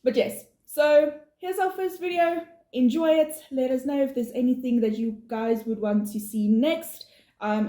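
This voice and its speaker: A woman from South Africa, not a native English speaker, co-hosting a vlog and explaining things in a steady, informative way with some fillers. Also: on the high side. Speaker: vlog co-host. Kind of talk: casual chat, explaining. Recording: echoey room.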